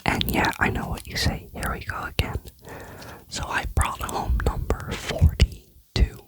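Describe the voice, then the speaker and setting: A vlogger telling a rambling, chatty personal story in a soft ASMR whisper. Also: a little slow, rough recording. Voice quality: whispering throughout.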